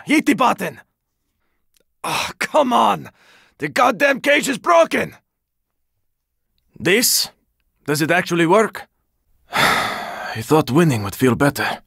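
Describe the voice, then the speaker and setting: A gruff, weary squad fighter from a zombie video game. His voice is raspy and full of gravel, with lively swings in pitch. He talks in short, urgent bursts at an unhurried pace, with a sardonic edge. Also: no room sound, studio quality, full character voice. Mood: weary, grim. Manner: terse, urgent, swearing. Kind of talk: video game character combat barks.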